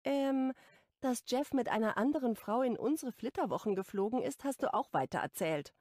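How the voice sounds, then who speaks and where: A woman's voice: fairly high pitch, animated, moderate pace, a little mellow, no room sound, clean studio sound, voice pushed up. A woman voicing a stressed, defensive young woman who confides candidly and wryly in an audiobook. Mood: wry, needling.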